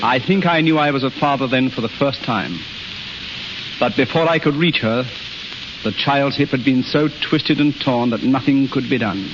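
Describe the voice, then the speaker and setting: An actor playing a proud, grieving surgeon and father in a 1930s radio drama, speaking gravely and deliberately. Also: mid-range voice, natural voice. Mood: remorseful. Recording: hissy, muffled 1930s radio recording.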